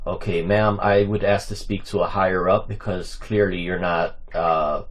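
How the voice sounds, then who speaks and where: Low-pitched man, insistent, argumentative, officious, caller demanding records. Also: steady tone, moderate pace, heavy background noise. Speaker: caller making public records requests. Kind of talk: argumentative phone call.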